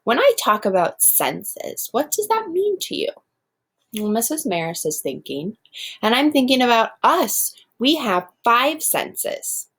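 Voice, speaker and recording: A woman's voice: fairly high pitch, very animated, measured pace, fairly bright tone, husky. A woman teaching first graders in a read-aloud lesson, explaining step by step and speaking directly to her pupils.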